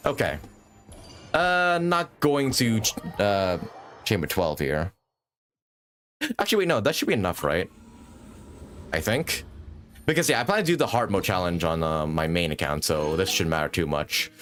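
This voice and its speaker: A man doing casual, informal live gameplay commentary, thinking out loud as a streamer. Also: low voice, big pitch swings, normal speed, pauses often. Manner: informal, swearing, thinking aloud. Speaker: game livestreamer. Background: video game music and sound.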